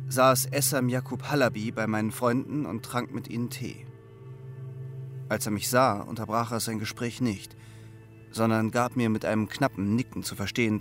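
A man's voice: fairly low pitch, creaky. An actor playing an uneasy male double agent in a crime radio drama, with an understated, guarded delivery. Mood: measured narration. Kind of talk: German crime radio drama, narration and dialogue.

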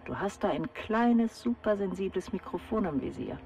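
An actress playing a secretive, bossy but kindly salon woman in a children's radio play, giving brisk, conspiratorial instructions. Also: mid-range voice, animated, darkish tone, soft background music, lightly acted. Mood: confiding.

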